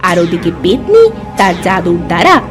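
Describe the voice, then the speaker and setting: A woman narrating a cartoon fairy tale in a lively storytelling manner. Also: on the low side, moderate pace, music in the background.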